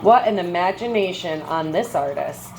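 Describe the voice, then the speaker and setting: A woman reading a children's picture book aloud like a teacher, with measured, expressive phrasing and brief comments. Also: fairly low pitch, a little hiss or hum, rough recording.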